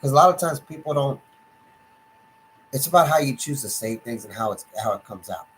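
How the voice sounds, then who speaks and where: A man's voice: medium pitch, expressive, fairly quick, a bit bright, a little hiss or hum, low-quality recording. A middle-aged man from Texas with a Texan accent, hosting his own sports stream in a loose, rambling monologue full of restarts and fillers. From Texas, USA. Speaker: sports channel host. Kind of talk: live-stream casual monologue. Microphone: desktop computer setup.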